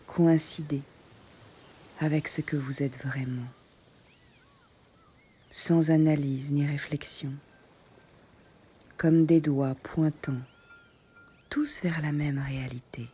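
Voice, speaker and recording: A woman guiding a meditation in soft, breathy short phrases with long pauses, often close to a whisper. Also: low voice, fairly even intonation, slight background noise. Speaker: yoga nidra meditation guide. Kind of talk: guided meditation.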